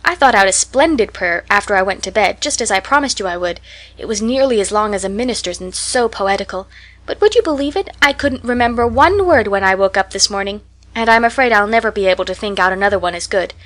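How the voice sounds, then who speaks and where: A woman's voice: mid-range voice, expressive, quick pace, fairly bright tone, lightly acted. A woman voicing an eager, talkative orphan girl who speaks in a breathless, imploring, dreamy way in an audiobook. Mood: chattering. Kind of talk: audiobook character voice.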